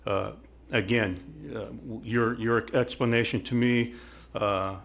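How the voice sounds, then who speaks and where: A man speaking as a council member at a public meeting, halting and repeating himself as he searches for words. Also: mid-range voice, steady tone, vocal fry, fairly bright tone. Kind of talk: deliberative public meeting remarks.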